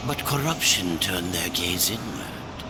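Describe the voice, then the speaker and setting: A man playing an ominous, cryptic guide of a shadow realm in a game cutscene, portentous and gravelly. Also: deep voice, lively intonation, very noisy. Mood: brooding, cryptic. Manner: slow, portentous. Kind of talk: video game cutscene acting. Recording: loud music behind voice.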